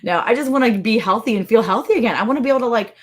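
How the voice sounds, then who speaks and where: A woman's voice: fairly high pitch, very fast. A woman in her forties co-hosting a casual livestream from home, chatty and teasing, greeting viewers by name. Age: 40s. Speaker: co-host of a ranch livestream.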